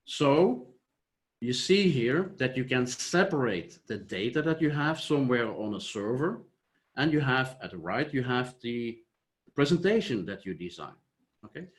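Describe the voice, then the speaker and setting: A man from Flanders with a Flemish accent, whose first language is Dutch, giving a measured, explanatory online conference presentation over a video call. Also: mid-range voice, big pitch swings. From Flanders, Belgium. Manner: measured, explanatory, trails off with "et cetera". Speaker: software company founder presenting.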